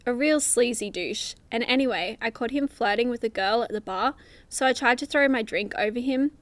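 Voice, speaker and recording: Female actor as young speedster heroine for radio comedy. Fairly high, wry, teasing, close dry sound. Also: normal speed, dry recording, close to an everyday voice. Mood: wry recounting. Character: sassy young female superhero speedster, teasing, feeling overlooked. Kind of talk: superhero comedy radio play acting.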